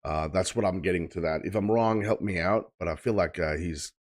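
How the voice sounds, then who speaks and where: Brief: young Swedish man, low-pitched, relaxed filler-heavy reaction host. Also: brisk. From Sweden. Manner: chatty, loose, filler-heavy, repeats "of course". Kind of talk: casual reaction commentary.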